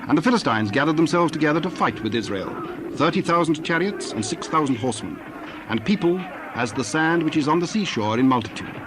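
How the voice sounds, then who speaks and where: A man's voice: a little high, expressive, a little fast, background noise. A man narrating a dramatized Bible reading in a scripted storytelling style.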